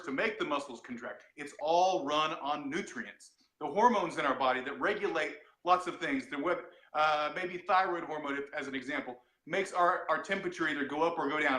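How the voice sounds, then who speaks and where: A man's voice: a little high, bright, forceful tone, echoey room, poor audio. A man, a doctor, explaining health topics in a plain teaching tone from a home room on a video call. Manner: plain teaching tone, quick laughs. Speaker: doctor, guest expert. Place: home room with cushions for sound deadening.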